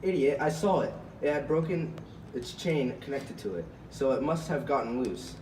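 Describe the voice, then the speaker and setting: A man's voice: mid-range voice, expressive, moderate pace, a little mellow, natural voice. A man voicing a brave, hard-headed rabbit in an amateur puppet show, blunt and impatient. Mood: indignant, urgent. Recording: echoey, noisy room, far from mic.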